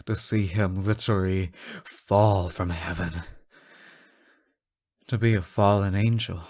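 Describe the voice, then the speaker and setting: A man playing an obsessive, devoted fallen angel in an intimate, theatrical audio roleplay, halting and swinging between hushed murmurs and impassioned outbursts. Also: on the low side. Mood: gloating. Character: obsessive yandere fallen angel, male, devoted, unhinged lover.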